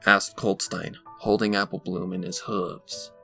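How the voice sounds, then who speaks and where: A man narrating a dark story from a script in a dramatic style. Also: sits low, flat delivery, measured pace, gravelly. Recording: music behind the voice.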